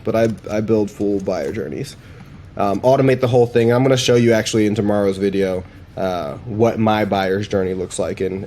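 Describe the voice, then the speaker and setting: A man with a fairly low, very mellow voice. He talks informally and conversationally, like a presenter casually walking viewers through a demo. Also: slight background noise. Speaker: presenter demonstrating AI voice assistants. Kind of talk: casual product demo talk.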